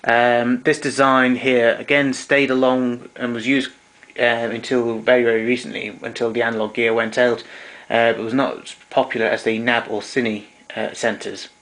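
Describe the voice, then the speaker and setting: Man, even unvaried intonation, hesitant halting delivery, informal technical walkthrough, hobbyist demonstrator. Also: medium pitch, rough recording. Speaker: vintage tape recorder enthusiast demonstrating equipment. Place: indoors, small domestic room.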